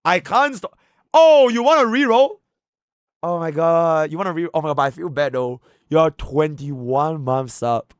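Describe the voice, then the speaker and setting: A man from Singapore, live-streaming casual chat with his viewers, excitable and slangy, swinging between hyped outbursts and low mumbles. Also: unhurried, clear, resonant tone, dry recording, clean studio sound.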